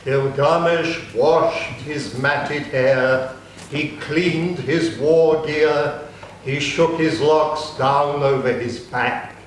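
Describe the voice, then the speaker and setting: A man narrating an ancient epic in a slow, measured dramatic reading. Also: on the high side, steady tone, background noise. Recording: very echoey room, faint ambience.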